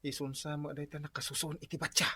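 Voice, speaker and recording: A man with an Ilocano accent playing an excitable, teasing buddy in a comedic radio drama. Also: a little high, fast talker. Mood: teasing, flustered.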